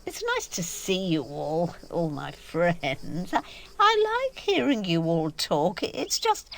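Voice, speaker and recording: A woman's voice: high-pitched, heavily acted. A woman playing a restless, self-absorbed, theatrical actress in an audio drama.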